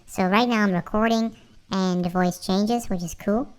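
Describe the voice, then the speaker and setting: A man demonstrating software casually, his voice raised by a pitch-shifting voice changer into a helium-like demo voice. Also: fairly even intonation, normal speed, clear, resonant tone, rough recording. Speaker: tutorial host.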